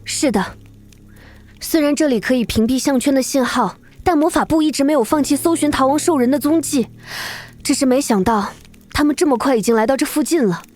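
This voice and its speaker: A stately female beast-folk chief from a fantasy cartoon, acted by a woman. Her voice is high yet measured and grave, weary but protective of her people. Soft music runs behind her. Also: lightly acted. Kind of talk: animated fantasy drama acting.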